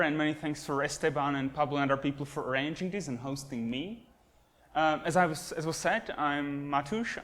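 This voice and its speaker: A young man from Slovakia, a native Slovak speaker, gives a relaxed, self-deprecating university lecture with many fillers, recorded by a camera at the back of a classroom. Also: on the high side. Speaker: guest lecturer, astronomy postdoc. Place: classroom with projector and computers. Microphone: camera at the back of the room.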